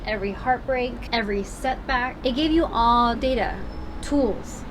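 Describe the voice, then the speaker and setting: A woman's voice: on the high side, measured pace, background noise. Emphatic motivational woman in her thirties. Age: 30s. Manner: emphatic, encouraging, calls listeners honey and y'all. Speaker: vlogger. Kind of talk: motivational talk to camera.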